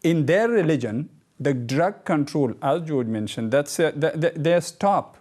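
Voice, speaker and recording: A man from Afghanistan, speaking as a guest in a debate and explaining his view in halting, repetitive English. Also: fairly high pitch, expressive, a little mellow. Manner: halting, repeats words, earnest. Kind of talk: debate discussion.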